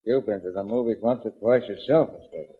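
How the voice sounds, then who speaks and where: An actor playing a plain-spoken, easily spooked police underling with deadpan wisecracks in a 1940s radio drama, husky-voiced on a lo-fi recording. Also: male voice, lowish voice, fast talker.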